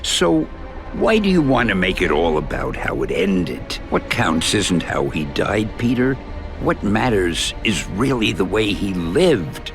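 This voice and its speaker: An actor playing a kindly, folksy old mentor in a video game, gravelly and encouraging. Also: male voice, sits low, sing-song, unhurried, music in the background. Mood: gentle, encouraging. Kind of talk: video game dramatic acting.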